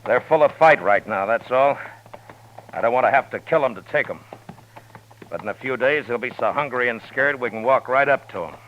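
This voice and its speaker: A man's voice: mid-range voice, a little fast, close to an everyday voice. Guy doing a weary level-headed american lawman, old radio western, dull sound w/ some noise. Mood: grave, measured. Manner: authoritative, restrained. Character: firm, weary, level-headed frontier US marshal, a man. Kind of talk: 1950s radio western acting. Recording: muffled, hissy vintage radio recording.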